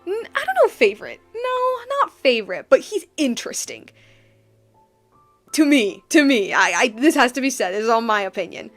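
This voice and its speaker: A woman with a fairly high voice talks casually as a game streamer, with faint music behind her. She rambles through unscripted commentary, leaning hard on key words and repeating phrases for emphasis. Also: very animated, normal speed.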